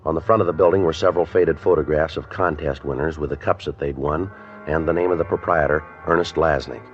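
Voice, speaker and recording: A man acting a terse, businesslike police sergeant in a clipped, deadpan radio drama style. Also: rumbling low, quick pace. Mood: matter-of-fact. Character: terse, businesslike male police sergeant narrating his case. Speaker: police detective and narrator. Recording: dull old radio recording with music.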